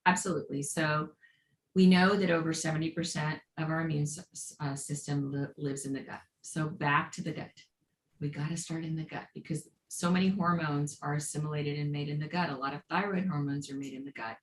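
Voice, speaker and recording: Low-pitched middle-aged woman, lively expert guest, roomy recording with faint noise. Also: brisk. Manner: enthusiastic, explanatory, playful phrases. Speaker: integrative health guest. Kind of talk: podcast interview.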